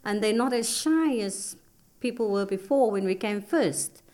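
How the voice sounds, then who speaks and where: A woman's voice: medium pitch, expressive, a little slow, mellow. A middle-aged woman from South Africa, a guest in an interview, chatting easily and laughing readily. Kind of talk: casual chat.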